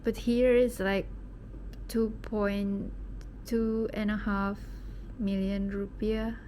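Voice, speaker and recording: A woman's voice: mid-range voice, level tone, slow, mellow, a little hiss or hum, poor audio. A young woman from Indonesia with an Indonesian accent tinged with British English, chatting casually at home at night as she unboxes something, rambling and sometimes dropping to a whisper. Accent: Indonesian, with some British English. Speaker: vlogger.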